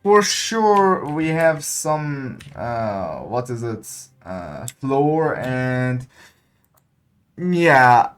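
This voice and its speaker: Slow-talking game streamer, halting English speaker. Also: mid-range voice, big pitch swings, smooth. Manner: halting, searching for words. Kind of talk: casual livestream chat over gameplay.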